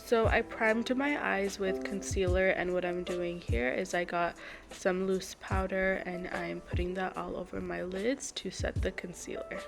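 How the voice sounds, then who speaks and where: A woman's voice: on the low side, little pitch movement, a little slow, vocal fry. A young woman in her twenties, a bit congested and nasal, chatting through a makeup tutorial voice-over step by step and apologizing now and then. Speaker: beauty vlogger. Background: background music.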